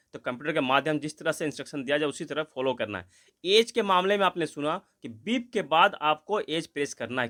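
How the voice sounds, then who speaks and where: Man, fairly high bright voice, informal tutorial, emphatic, repeats himself. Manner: repetitive, emphatic explaining with English words mixed in. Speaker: channel host explaining instructions. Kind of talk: informal instructional explanation.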